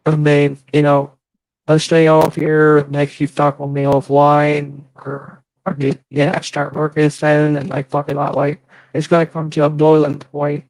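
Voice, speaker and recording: Halting, rambling man with dry, close sound. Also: a little high, completely flat delivery, creaky, a little mellow, no echo, low-quality recording. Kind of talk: casual live chat.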